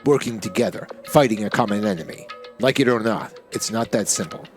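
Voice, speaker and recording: A man's voice: on the low side, fairly quick, faint music behind, voice pushed down, close to an everyday voice. A man voicing a cold, aloof, cynical teenage boy in a visual novel game. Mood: cold cynicism.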